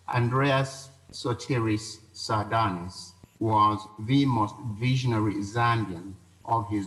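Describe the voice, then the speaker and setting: A man's voice: medium pitch, a bit bright, rough recording. Man reading a formal funeral eulogy.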